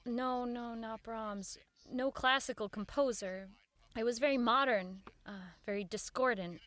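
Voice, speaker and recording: A woman's voice: a little high, unhurried, a bit bright, dry recording. An actress playing an earnest, persistent woman who runs a radio show, in audio drama dialogue and first-person narration. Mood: earnest insistence.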